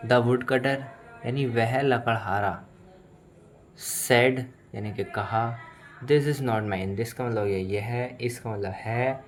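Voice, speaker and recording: A man's voice: mid-range voice, takes it slowly, soft background music. A man with an Indian accent, a native Hindi speaker, teaching English in a bilingual lesson, explaining word by word and repeating phrases.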